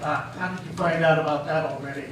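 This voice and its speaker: A man's voice: fairly high pitch, fairly even intonation, quick pace, a bit bright, lightly acted. An amateur actor, a man, playing a defensive, self-important small-town politician in a stage reading, flustered and blustering. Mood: flustered, evasive. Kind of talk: amateur readers theater comedy acting. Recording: very echoey hall from far off, faint hiss.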